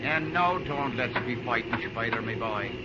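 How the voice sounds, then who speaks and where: An actor playing a grumbling but good-natured Irish railroad engineer with a stagey brogue, in old-time radio drama style. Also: male voice, mid-range voice, moderate pace, lightly acted. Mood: soothing. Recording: noisy dull vintage radio recording.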